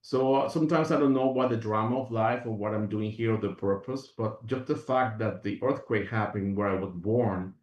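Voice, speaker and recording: Guest from Venezuela, earnest rambler with restarts, a bit far off the mic. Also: male voice, on the low side, moderate pace, few pauses, darkish tone, roomy sound, dull audio. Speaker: guest, functional medicine practitioner. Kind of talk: reflective interview talk.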